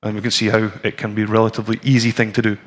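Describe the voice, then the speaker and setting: A man's voice: fairly low pitch, monotone, rapid-fire, a bit bright. A man in his forties from Scotland with a Scottish accent, giving a conversational technical talk and live demo to a conference audience, with frequent ums and restarts. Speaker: conference presenter. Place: conference room with a screen and audience.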